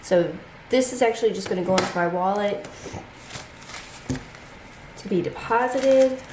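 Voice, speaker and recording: A woman's voice: medium pitch, normal speed, reverberant, noisy background. A congested woman hosting her own channel, chatting casually as she walks through her budget and reads out figures.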